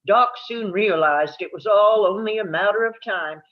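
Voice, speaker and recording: A woman's voice: medium pitch, normal speed. A woman reading scripted narration aloud at a live table read, telling it like a story and now and then stumbling over a word. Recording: dull, lo-fi sound.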